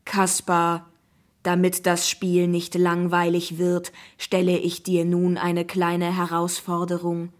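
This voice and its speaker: Lady doing a friendly coaxing ai app voice for a kids story, really slow and deliberate, kinda low. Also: monotone. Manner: slow, measured, deliberate delivery. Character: friendly, smooth-talking AI app voice, inviting and coaxing. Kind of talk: children's audiobook character voice.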